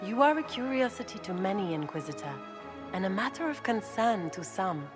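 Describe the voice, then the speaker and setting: An actress playing a scheming aristocratic grand duchess in a video game, with a French accent and formal, measured, insinuating speech. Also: female voice, mid-range voice, big pitch swings, music in the background, lightly acted. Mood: guarded, insinuating. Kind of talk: video game character acting.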